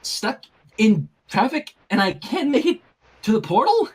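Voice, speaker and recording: Story reading: flustered teenage girl babysitter, acted by a man, swooping pitch, urgent and huffy. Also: big pitch swings, a little slow, slightly rough, far from the mic, exaggerated voice. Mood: nervous. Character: flustered, exasperated teenage girl minding a baby.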